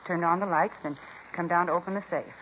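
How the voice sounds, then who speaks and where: An actress playing a chatty, indignant grocery cashier in a 1950s radio police drama, with a folksy, gossipy, dismissive way of talking. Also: female voice, on the low side, steady tone, fast talker, natural voice. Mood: matter-of-fact. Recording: muffled, hissy 1950s radio recording.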